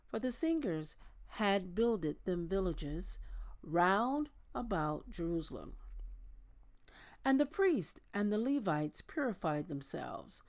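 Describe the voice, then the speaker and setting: A woman hosting a Bible reading, speaking in a measured, deliberate manner as she reads aloud and talks informally to listeners. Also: fairly low pitch, a little mellow. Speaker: host of a daily Bible reading. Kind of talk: reading scripture aloud with casual asides.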